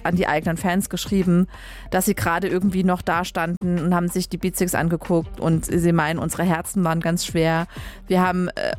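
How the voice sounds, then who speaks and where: A young woman from Leipzig hosting a radio music show, chatting casually and correcting herself as she goes. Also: fairly low pitch. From Leipzig, Germany. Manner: chatty and informal, with frequent fillers and self-corrections. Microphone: studio microphone.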